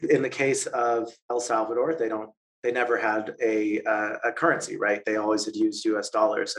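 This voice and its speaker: A man's voice: lowish voice, fairly bright tone, low-quality recording. A man answering interview questions, explaining at length with frequent fillers, false starts and repeated words. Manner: halting, many fillers and restarts. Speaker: interview guest, stablecoin expert.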